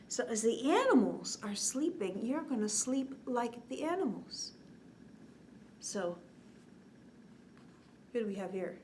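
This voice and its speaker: A woman in her forties hosting a children's bedtime story time, expressive, drawing out words and often dropping to a hushed, whispery tone. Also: fairly high pitch. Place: indoors, small curtained set. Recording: clear background noise.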